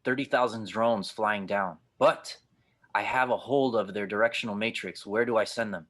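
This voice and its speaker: A man's voice: lowish voice, fairly even intonation, normal speed, fairly bright tone. A man playing an angry, terse cyborg fighter in an audio drama table read. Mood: tense, doubtful. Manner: terse, bitter.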